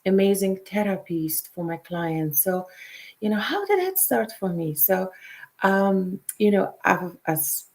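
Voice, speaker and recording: A middle-aged woman from Poland with a Polish accent, a counselor hosting a show and leading a guided meditation before telling her own story, speaking softly and sometimes dropping to a whisper. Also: medium pitch, lively intonation. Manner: soft, unhurried, drops to a whisper at times. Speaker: intuitive counselor and show host.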